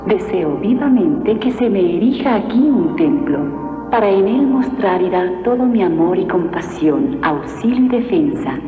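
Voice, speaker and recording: A gentle heavenly mother in an animated film. She is solemn and measured, in a medium-pitched voice drenched in echo over clear music, on a dull old soundtrack. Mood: solemn, tender.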